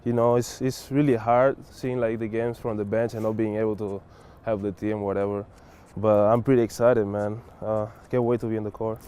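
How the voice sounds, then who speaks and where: A young man from Spain with a low, mellow voice that stays smooth and clear. He answers in a flat, unhurried way and drops filler words as he talks. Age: young adult. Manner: relaxed, many fillers like "like" and "whatever". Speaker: college basketball player. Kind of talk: casual interview answers.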